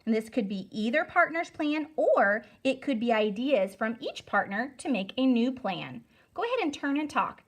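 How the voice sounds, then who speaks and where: A woman reading a storybook aloud to children as a teacher, deliberate, emphatic and instructive. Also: fairly high pitch, sing-song.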